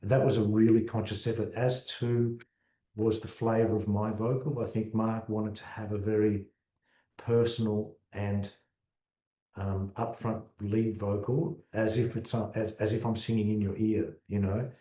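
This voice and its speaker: A man's voice: on the low side, unhurried. Distant Australian man in a roomy, dull recording. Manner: relaxed, repeats words when restarting. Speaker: musician, interview guest. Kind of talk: casual interview chat.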